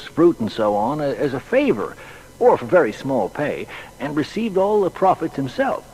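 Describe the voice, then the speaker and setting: A man's voice: medium pitch, a little mellow, poor audio. An actor playing a folksy, plain-spoken man who hesitantly recalls his boyhood friend in a dramatised biography. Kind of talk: dramatised witness reminiscence.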